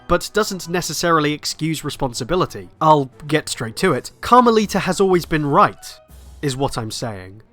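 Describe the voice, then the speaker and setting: A man reading scripted narration for a video essay in a measured, reflective way. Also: on the high side, pushed and bright. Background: background music.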